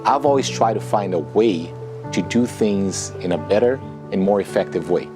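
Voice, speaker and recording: Brazilian guy in his 30s, low voice, reflective and earnest promo story, music playing clearly behind. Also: moderate pace. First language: Portuguese. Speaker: author and entrepreneur promoting a book. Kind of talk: earnest personal testimonial.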